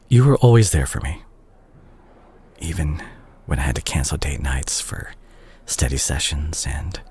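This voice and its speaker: A man playing a remorseful, guilt-ridden doctor ex-boyfriend in an intimate romantic audio drama, speaking haltingly and tenderly with a husky voice. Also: extremely low pitch, a little mellow, slight background noise. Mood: regretful, subdued. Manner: halting, emotional, with sighs and repeated words. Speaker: audio roleplay performer.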